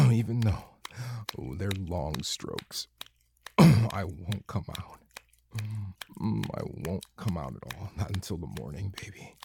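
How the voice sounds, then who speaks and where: Slow, gravelly, low-voiced man. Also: mellow. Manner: slow, breathy, with moans and whispers. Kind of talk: intimate audio roleplay. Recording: clear background noise with clicking.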